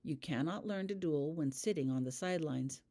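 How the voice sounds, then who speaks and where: Woman acting female magic teacher, calm, serene, low-pitched, audiobook character. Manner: serene, unhurried. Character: calm, serene female wizard-school professor.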